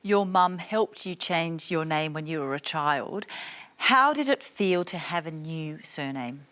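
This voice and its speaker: A woman hosts a studio discussion show and puts direct questions to her guests. Her voice sits a little low, but her intonation moves lively up and down. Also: unhurried. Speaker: host.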